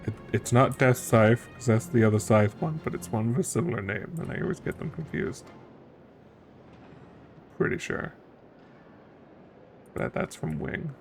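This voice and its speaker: A man's voice: medium pitch, lively intonation, unhurried, vocal fry, long pauses, faint music behind. A man streaming a video game with casual commentary, musing and thinking aloud as he plays.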